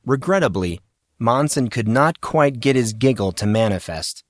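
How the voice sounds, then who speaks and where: A man's voice: medium pitch, expressive, moderate pace, no room sound, studio quality. A man reading scripted fiction narration as the narrator of an audio story.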